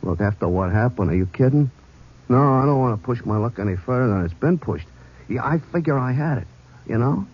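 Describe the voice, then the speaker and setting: An actor playing a jumpy, talkative working-class American cab driver in an old radio drama, with a husky voice and emphatic, repetitive, colloquial speech. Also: male voice, medium pitch, animated, fairly quick, noisy background, natural voice. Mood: indignant, defensive. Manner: colloquial, emphatic, repeats himself. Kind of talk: 1950s American radio police drama acting. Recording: muffled old radio recording.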